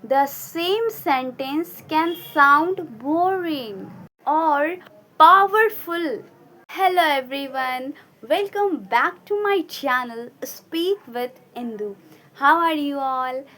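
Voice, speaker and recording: A woman giving scripted speaking lessons to viewers, delivered deliberately with emphasis and pauses between short phrases. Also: high voice, lively intonation, pushed and bright, poor audio. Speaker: English-speaking coach and channel host. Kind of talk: scripted instructional talk to viewers.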